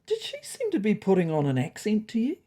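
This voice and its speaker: A woman's voice: medium pitch, wildly swooping pitch, brisk, mellow, pitched above the performer's own voice. A woman voicing a laid-back, dry-witted male cat in a storybook.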